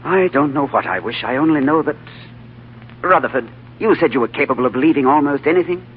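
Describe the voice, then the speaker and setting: An actor playing a troubled English gentleman, hesitant and intense, in 1940s radio drama style. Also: on the high side, expressive, fast talker, a little hiss or hum, natural voice. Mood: troubled, searching. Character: troubled, haunted English gentleman recovering from amnesia. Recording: tinny old radio broadcast, faint music.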